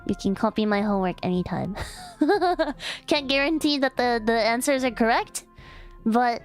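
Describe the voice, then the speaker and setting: A woman's voice: on the high side, expressive, moderate pace. A young woman casually chatting on a livestream, giggly and rambling, with lots of fillers and restarts, playful and affectionate toward her chat. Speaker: streamer. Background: faint background music.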